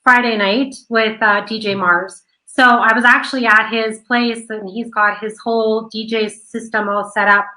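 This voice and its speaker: A woman hosting an online radio interview, chatty and quick, laughing often. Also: a little high, steady tone, sharp tone, low-quality recording. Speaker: host of an online radio show. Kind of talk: casual chat.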